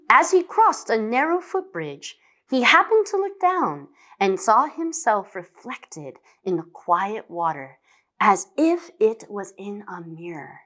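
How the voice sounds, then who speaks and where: A woman reading scripted fables as a storybook narrator, expressive, dramatic and deliberate. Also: medium pitch. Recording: long hall reverb.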